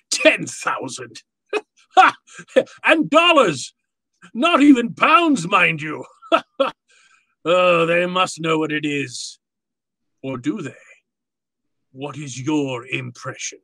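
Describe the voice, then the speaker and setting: An actor playing a jovial, courtly, calculating fat crime boss in a radio drama, speaking floridly and with relish. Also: male voice, on the high side, sing-song, extremely slow, long pauses, pushed and bright, dry recording, full character voice. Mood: gleeful. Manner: florid, pompous, relishing his words. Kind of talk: old-time radio drama acting.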